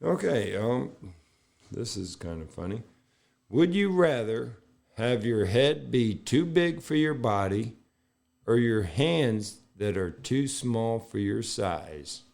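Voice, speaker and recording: A man co-hosting a casual podcast chat, joking along in a slow, drawn-out way. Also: mid-range voice, big pitch swings, creaky, a little mellow. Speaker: podcast co-host.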